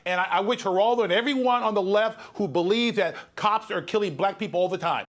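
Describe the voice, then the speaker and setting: A man's voice: high voice, fairly quick. A man giving emphatic, rhetorical commentary in a heated interview, on a noisy clip.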